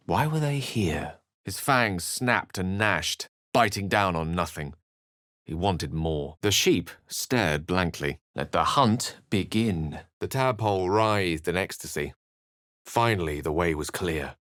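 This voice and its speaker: Fantasy game wizard: low English voice, very dry sound, eloquent, measured and theatrical. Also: male voice, fairly bright tone, no echo. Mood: earnest, concerned, then ominous, storytelling. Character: learned, eloquent adult male wizard adventurer. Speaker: video game voice actor. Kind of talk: dramatic scripted game dialogue and narration.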